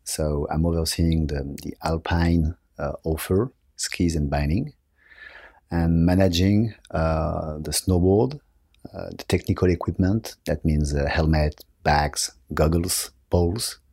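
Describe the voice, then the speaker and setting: Deep, mellow French-accented man. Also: fairly even intonation, slow pace, slightly rough, dry recording, studio quality. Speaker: interview guest, company marketing director. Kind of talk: conversational interview answers. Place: office.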